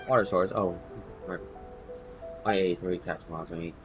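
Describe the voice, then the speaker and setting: A man with a fairly low voice talks casually through a game on a livestream. He speaks haltingly, half muttering to himself as he reacts, with game music clearly playing behind him. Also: steady tone. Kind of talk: casual game commentary, reading dialogue aloud. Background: video game music.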